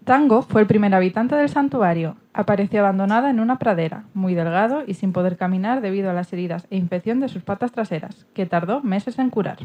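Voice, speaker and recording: A woman's voice: medium pitch, brisk, hardly any pauses. Dull lo-fi recording, Spanish woman narrating. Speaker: narrator of sanctuary animal's story. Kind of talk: scripted narration, partly in an animal's first person. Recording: dull, lo-fi sound.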